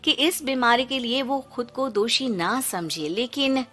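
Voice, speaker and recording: A woman's voice: on the high side, lively intonation, a little slow, a bit bright, faint music behind. A woman reading scripted narration for a moral story, as its storyteller.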